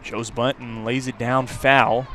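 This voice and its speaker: A man's voice: mid-range voice, flat delivery, slow, sharp tone. A man calling live baseball play-by-play for radio in a conversational way, filling the gaps with player background. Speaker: play-by-play announcer. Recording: clear outdoor background noise. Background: outdoor ballpark ambience.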